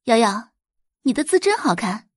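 A tough, self-assured teenage transfer student, voiced by a woman narrator. The delivery is cool and direct with very expressive intonation, in audiobook style and recorded dry. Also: fairly high pitch, normal speed, slightly rough, no echo. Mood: friendly, confident. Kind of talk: audiobook character acting.

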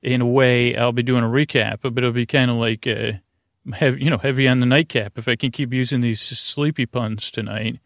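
Male sleep-podcast host. Heavy vocal fry, very dry sound, mid-pitched; hesitant, meandering delivery that drifts between asides. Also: steady tone, no echo. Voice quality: creaky, gravelly. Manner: halting, meandering, hesitant stop-start phrasing with drawn-out words. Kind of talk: rambling, tangent-filled bedtime podcast introduction.

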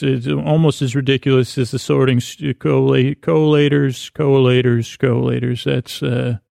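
Male podcast host, very gravelly fry, dry close sound, halting and mumbly, whimsical self-deprecating bedtime rambles. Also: mid-range voice, darkish tone, dry recording. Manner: meandering, halting, stammering and repeating words.

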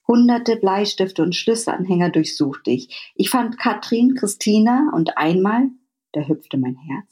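A woman's voice: on the low side, a little mellow, slightly rough. A woman author reading aloud from her own novel, in scripted first-person literary narration.

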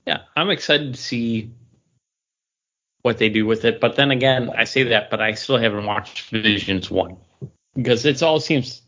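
A man's voice: medium pitch, normal speed, a bit bright, lo-fi sound. A man co-hosting a casual geek-culture chat, talking like a keen fan and correcting himself as he goes. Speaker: podcast co-host.

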